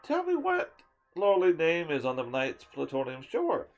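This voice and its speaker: A man giving a dramatic reading of a poem, swinging from loud declaiming to hushed whispers and sometimes tripping over a word. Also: on the high side, wildly swooping pitch, a little slow, faint music behind. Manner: stumbles and restarts words now and then. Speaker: storyteller reading a poem aloud.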